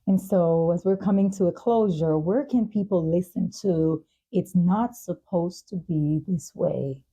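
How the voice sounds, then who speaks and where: A woman's voice: on the low side, measured pace, mellow, husky, low-quality recording. A woman speaking as a talk show and podcast host, in interviews and scripted announcements. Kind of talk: interview hosting and scripted sponsor reads.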